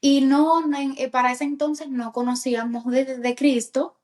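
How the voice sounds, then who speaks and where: A Cuban woman in her thirties with a Cuban accent, a podcast guest telling her life story in a halting way, with many fillers. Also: high voice, little pitch movement, darkish tone. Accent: Cuban Spanish. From Cuba. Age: 30s. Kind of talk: personal testimony in casual chat.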